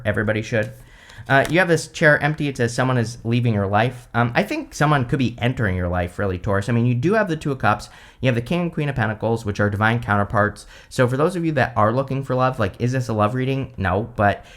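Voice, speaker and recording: A man's voice: medium pitch, a bit bright. Rambling, chatty man reading tarot. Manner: chatty and rambling, full of "like" and "you know", with light laughs. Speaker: tarot reader, channel host. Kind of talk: casual tarot reading to camera.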